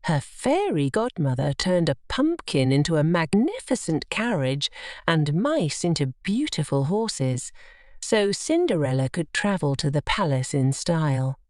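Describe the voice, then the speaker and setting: A woman narrating a scripted children's bedtime story in an expressive, measured storytelling manner. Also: sits low, no echo, clean studio sound.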